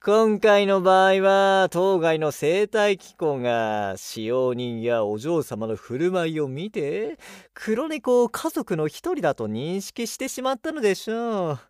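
Male technician, polite, analytical, patient explainer, formal diction, animated intonation, dry studio sound, audio drama. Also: high voice, takes it slowly, hardly any pauses, clean tone, no echo. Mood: explaining. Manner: formal polite speech, explanatory, slightly theatrical. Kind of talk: Japanese audio drama acting.

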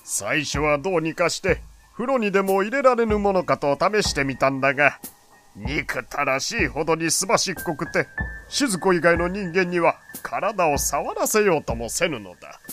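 A man's voice: fairly high pitch, expressive, normal speed, a bit bright, faint music behind. An actor playing a proud, doting, wealthy old father who talks in pompous old-fashioned speech in an audio drama. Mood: grumbling, explaining. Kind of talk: Japanese audio drama acting.

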